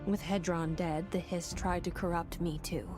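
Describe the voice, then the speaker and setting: An actress playing a resolute woman director in a video game, delivering measured, hushed, introspective monologue. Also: fairly low pitch, fairly even intonation, over music, natural voice.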